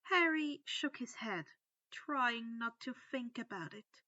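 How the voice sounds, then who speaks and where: A woman's voice: a little high, expressive, slow pace, pushed and bright, dry recording, muffled recording. A woman reading a scripted fan fiction story aloud as its narrator.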